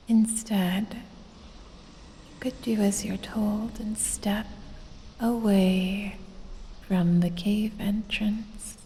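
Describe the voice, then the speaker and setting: A woman voicing an evil, seductive lamia toying with her prey in a breathy, sultry, gloating ASMR roleplay whisper. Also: mid-range voice, warm tone. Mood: coaxing. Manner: slow, sultry, drawn-out, gloating purr. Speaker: audio roleplay performer. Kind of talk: ASMR roleplay, addressing the listener. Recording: long cave-like reverb, faint background noise.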